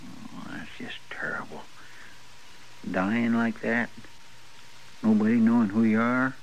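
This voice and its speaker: Folksy frontier deputy, faint hiss. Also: male voice, fairly low pitch, steady tone, takes it slowly, lo-fi sound. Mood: stunned, saddened. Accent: American. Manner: folksy, plain-spoken. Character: earnest, folksy deputy, curious and easily astonished. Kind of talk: 1950s American radio drama acting. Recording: old radio recording with faint noise.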